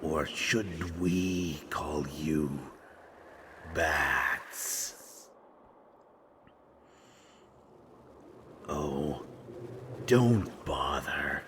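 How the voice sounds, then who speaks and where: A man voicing a fragmented monster in an audio drama, a menacing yet regretful servant who talks haltingly and ominously. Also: extremely low pitch, fairly bright tone, a little hiss or hum, heavily acted. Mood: cold, taunting. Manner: slow, halting, ominous, speaks of himself as "we". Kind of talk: scripted roleplay audio drama.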